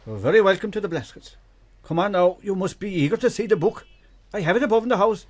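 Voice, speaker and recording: An old Kerry man of the islands tells tales in a radio drama. His voice sits a little high, and he is folksy, grandfatherly and fond of a boast. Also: quick pace. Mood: welcoming. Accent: Kerry Irish. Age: older. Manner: folksy, animated storytelling.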